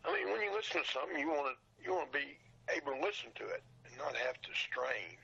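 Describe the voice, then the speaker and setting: An older man with a hoarse, breaking voice, talking earnestly and haltingly as a guest in a casual interview. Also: a little high, sing-song, sharp tone, highs cut off. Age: older, 60s or above. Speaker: podcast guest, radio show collector.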